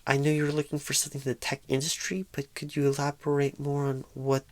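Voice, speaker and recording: A woman's voice: deep voice, completely flat delivery, quick pace, lightly acted. A woman playing a shy, nervous, inexperienced job recruiter in an ASMR roleplay, stammering and hesitating awkwardly. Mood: unsure, hesitant.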